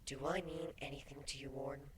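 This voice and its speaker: A man voicing a gentle, consoling female changeling in a fan fiction reading, speaking in a soft, breathy, soothing way. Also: mid-range voice, steady tone, a little fast, background noise, pitched above the performer's own voice, lightly acted. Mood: soft, vulnerable.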